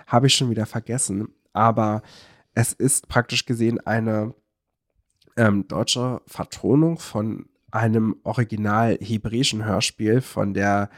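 A man's voice: fairly low pitch, no echo, clean studio sound. Man chatting casually on a podcast. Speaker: podcast co-host.

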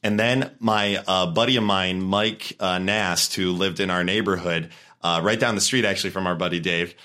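A young man co-hosting a podcast, relaxed and chatty, telling stories in casual conversation. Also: deep voice, normal speed. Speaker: podcast co-host. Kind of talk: casual chat.

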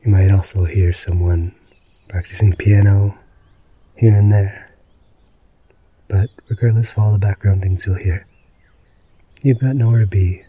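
A man hosting a sleep podcast in a gentle, low-key, meandering way, with a husky, creaky voice. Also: fairly even intonation, a little slow, long pauses, no room sound, dull audio. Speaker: podcast host. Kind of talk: slow, soothing bedtime narration.

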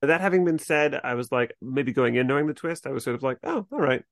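An American man hosts a casual book chat. His voice sits at a middle pitch and sounds dry and close, with an easygoing, reflective delivery. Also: expressive, fast talker, vocal fry, dry recording. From New England, United States. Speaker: podcast host.

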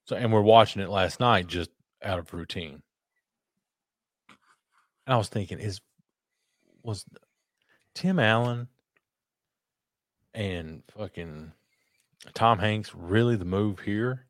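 A man with a deep baritone voice co-hosting a podcast, chatting casually in loose, joking, profane banter. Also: slow, long pauses, dry recording. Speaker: podcast co-host. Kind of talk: casual chat over beers.

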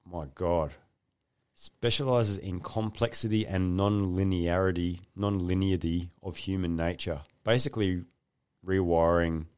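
A man with a very low voice hosts the interview in a loose, chatty way, joking with his guest. His delivery wanders and restarts as he sets up the talk. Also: fairly even intonation, a little slow, smooth. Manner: chatty, laughing, loose and warm with a friend. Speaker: podcast host. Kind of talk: casual interview.

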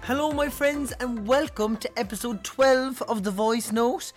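A man with a Cork Irish accent, hosting a podcast in a chatty, animated way, his voice hoarse from singing all weekend. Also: very high-pitched, over music. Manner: chatty, animated, quick to laugh. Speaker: podcast host. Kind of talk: casual chat.

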